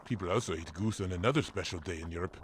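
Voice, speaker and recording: An actor playing a kindly, patient German village priest in a family audio drama, gentle and measured in manner. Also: male voice, low voice, slight background noise, natural voice. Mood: warmly explaining. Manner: gentle, measured, formal, explanatory.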